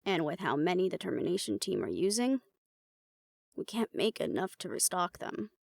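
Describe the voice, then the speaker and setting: A woman's voice: fairly low pitch, very animated, normal speed, vocal fry, a little mellow, no echo, exaggerated voice. A woman playing an uptight, weary researcher in a fantasy audio drama, with a dry, exasperated way of talking. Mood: matter-of-fact. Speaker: voice actor in an audio roleplay. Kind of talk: fantasy audio drama roleplay, conversational acting.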